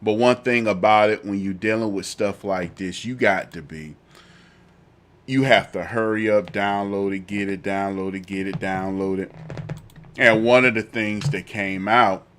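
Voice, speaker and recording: A man's voice: fairly low pitch, fairly even intonation, a little slow, fairly bright tone, slight background noise. A man hosting a political talk show with a lingering cough, repeating himself as his commentary builds into heated indignation. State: fighting a cough.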